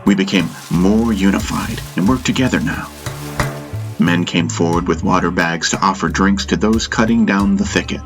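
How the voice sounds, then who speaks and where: A man's voice: sits low, normal speed. A man reading a scripted first-person story as an audiobook narrator, with music behind him. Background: background music.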